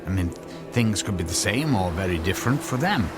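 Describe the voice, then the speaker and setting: An actor playing an earnest, anxious physicist husband in a radio drama, explaining his invention in halting, stammering phrases. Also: low-pitched, expressive, over music. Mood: cautioning.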